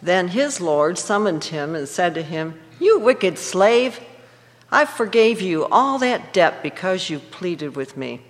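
A reader delivers scripture with measured emphasis. Long reverb from a large church room trails each phrase. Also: mid-range voice, big pitch swings. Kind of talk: scripture reading.

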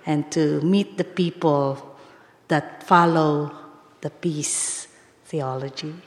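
A woman greets a congregation in a hoarse voice, hoarse from a cold, speaking low and slow. The large hall gives her voice a roomy echo with a long tail. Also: steady tone. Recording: echoey hall.

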